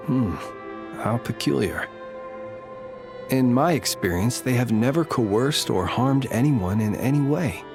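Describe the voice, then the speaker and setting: An actor as a solemn, formal lightkeeper over music. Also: male voice, mid-range voice, close to an everyday voice. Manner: formal, measured, archaic diction. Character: solemn, courteous graveyard lightkeeper who senses ghosts. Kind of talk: video game voice acting.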